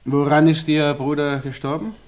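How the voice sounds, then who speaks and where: An actor playing a calm, pipe-smoking police inspector in a 1950s radio play, dry and unhurried. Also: male voice, on the high side, close to an everyday voice. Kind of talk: 1950s radio drama acting. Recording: old mono radio play recording.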